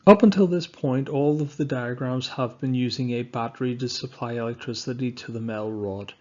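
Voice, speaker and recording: A man's voice: mid-range voice, a little fast, darkish tone. Dull-sounding recording of a male science teacher. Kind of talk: scripted lesson narration over slides.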